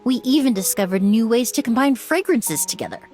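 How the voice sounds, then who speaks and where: A woman playing a composed young perfumer in a video game, measured, articulate and earnest as she explains. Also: fairly high pitch, expressive, a little mellow, lightly acted. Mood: firm, accusing. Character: composed young female perfumer, earnest, firm former apprentice. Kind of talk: dramatic video game voice acting. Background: background music.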